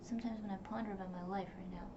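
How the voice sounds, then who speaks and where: A woman's voice: mid-range voice, steady tone, racing. A young woman whose first language is Russian, talking in a rambling, reflective way with many fillers, recording a personal diary vlog. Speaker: vlogger. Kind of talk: casual diary vlog to camera. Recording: distant, dull, noisy home recording.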